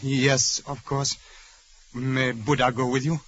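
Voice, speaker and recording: An actor playing a devoted disciple who narrates solemnly and reverently in a 1940s radio drama. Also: male voice, medium pitch, flat delivery, slow, a bit bright, a little hiss or hum. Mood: anxious devotion. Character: devoted, solemn male disciple narrating his master's exploits. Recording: muffled old radio recording with music.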